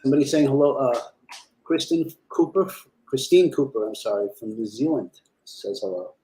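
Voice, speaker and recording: A man's voice: medium pitch, animated, normal speed, dark tone, rough recording. A man around sixty chatting as co-host on a livestream, encouraging the host and reading out viewer comments. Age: 60s. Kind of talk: casual livestream chat.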